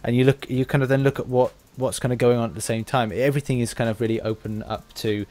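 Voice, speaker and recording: A male podcast interviewer with a medium-pitched voice. He chats casually and feels his way through his thoughts, with halting, tentative phrasing. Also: steady tone, a little mellow, no room sound.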